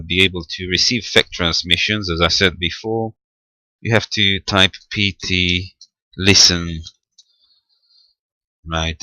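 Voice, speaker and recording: Deep-voiced, slow-talking man giving a tutorial. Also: sharp tone, no room sound. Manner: halting, matter-of-fact, thinking aloud. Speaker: amateur radio demonstrator. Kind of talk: unscripted hands-on technical tutorial.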